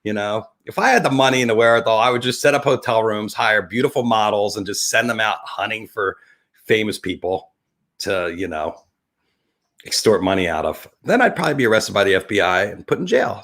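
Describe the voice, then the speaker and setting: A man with a New Jersey accent hosting a live webcast, improvising a rambling, tongue-in-cheek intro in an animated, scattered, stop-and-start way. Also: on the low side, a little fast.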